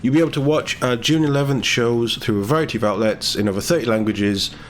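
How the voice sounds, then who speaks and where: A man's voice: mid-range voice, fast talker, soft background music. A British man presenting gaming news in a casual, sardonic way, mixing read-out text with his own commentary. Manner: sardonic, sweary asides. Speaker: gaming YouTuber.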